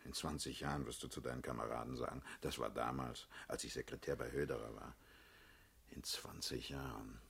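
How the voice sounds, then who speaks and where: An actor playing a blunt, world-weary, middle-aged male party leader in a German radio drama, with a husky, rough voice. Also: very deep voice, fairly even intonation, brisk, a bit bright, heavily acted. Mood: wearily brooding. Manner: blunt, paternal, brusque.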